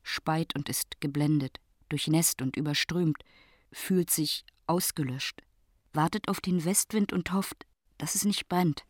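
A woman's voice: sits low, measured pace, dry recording, clean studio sound. A woman narrating a scripted literary radio drama in terse, rhythmic, repetitive phrases.

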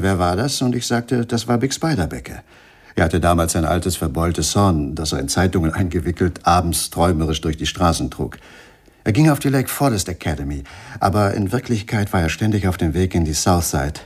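An actor playing a wry, unflappable jazz musician recalling rough nightclub days in a radio play, with dry storytelling. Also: male voice, low-pitched, moderate pace. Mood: reminiscing.

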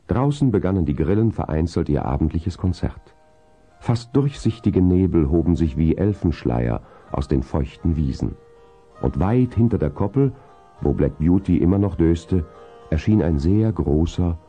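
Deep, very dark-voiced male storyteller. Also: expressive, moderate pace, faint music behind. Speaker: narrator. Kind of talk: scripted storytelling narration, 1970s radio play. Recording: dull vinyl transfer.

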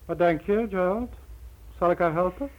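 An actor playing a smug, urbane crime boss in a radio play, speaking pompously with mocking politeness and condescension. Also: male voice, sits high, moderate pace, close to an everyday voice. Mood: smug, calm. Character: smug, urbane male crime syndicate boss posing as philanthropist. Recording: muffled old radio drama recording.

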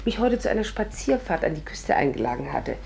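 A woman's voice: sits low, very animated, fairly quick, gravelly, darkish tone, a little hiss or hum, heavily acted. A woman playing a witty, sarcastic young actress in a 1950s German radio play. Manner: dry, sarcastic. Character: sharp-tongued, witty young actress, fond of mimicry.

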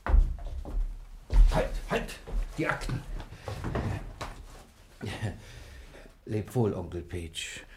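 An actor playing a desperate, sly but fond nephew on the run in a radio play, muttering to himself in agitation. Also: male voice, low-pitched, big pitch swings, very slow, heavy background noise, heavily acted. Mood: mock-tender, regretful. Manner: agitated, muttering, mock-tender.

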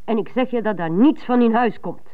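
A woman's voice: fairly high pitch, fairly quick, lightly acted. Woman playing a stern, scolding mother, tinny sound. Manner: scolding, emphatic, repetitive. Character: stern, thrifty, indignant middle-aged mother. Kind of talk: radio play acting. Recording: thin phone-like radio recording.

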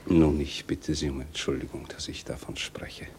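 Very deep, whispery, despairing sick husband. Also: male voice, fairly even intonation. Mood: desolate. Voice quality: creaky, breathy, half-whispered. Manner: halting, brooding, repeats words. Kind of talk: German radio drama acting.

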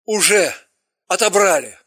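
A man's voice: high voice, lively intonation, a little slow, fairly bright tone, dry recording, studio quality, exaggerated voice. A man playing a curt wealthy businessman. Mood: contemptuous. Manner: clipped, contemptuous.

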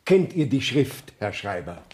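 A stately court official in a radio drama. His speech is formal and declamatory, with commanding authority and a pitch that swings widely from phrase to phrase. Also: big pitch swings, unhurried, a little mellow. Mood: authoritative. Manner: declamatory, formal, precise diction. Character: male court councillor, formal, commanding official inspecting a village court. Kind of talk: radio play acting.

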